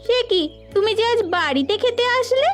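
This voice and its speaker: A woman playing an excitable village housewife in a melodramatic cartoon, given to exclaiming and beseeching. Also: extremely high pitch, normal speed, soft background music. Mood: chatty.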